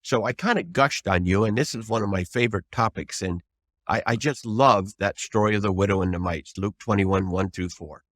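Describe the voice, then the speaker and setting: A man's voice: on the low side, moderate pace, fairly bright tone, no room sound, studio quality. An older man, a trial lawyer, giving advice as an interview guest in an earnest, emphatic, folksy way with rhetorical questions.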